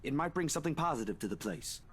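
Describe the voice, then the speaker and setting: A woman's voice: sits low, big pitch swings, fast talker, husky, pitched below the performer's own voice, exaggerated voice. A woman voicing a friendly, laid-back young man in a visual novel, with folksy phrasing. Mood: casual, persuasive. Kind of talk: visual novel character read-aloud. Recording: background music behind voice.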